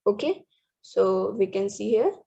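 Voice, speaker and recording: A woman walks through programming slides in a halting way, restarting words and using many fillers. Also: medium pitch, expressive, creaky, mellow, slightly rough. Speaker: student presenter. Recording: dull lo-fi recording.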